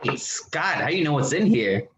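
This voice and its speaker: A man's voice: fairly high pitch, very animated, moderate pace, noisy background. Man playing a jittery, grumbling radio sidekick. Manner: hesitant, wry, complaining. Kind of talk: old-time radio drama acting.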